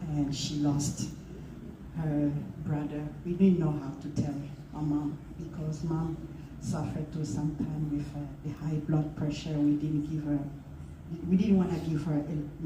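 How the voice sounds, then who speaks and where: A woman's voice: low-pitched, steady tone, a little slow. Emotional woman telling a story in a hall. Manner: emotional storytelling, switching between languages, mounting intensity. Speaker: speaker at a memorial gathering. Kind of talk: personal memorial story. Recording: echoey hall, distant mic, clear background noise.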